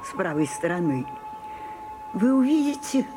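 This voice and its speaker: A woman's voice: medium pitch, very animated, music in the background, lightly acted. An older woman playing an elderly, imperious and wistful stage actress in a theatrical radio drama. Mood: dreamy, imperious. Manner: slow, deliberate, theatrical delivery.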